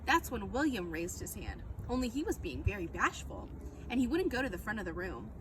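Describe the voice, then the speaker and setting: A woman reading a children's book aloud as a storyteller, with expressive, dramatic narration. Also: mid-range voice, a little fast, background noise.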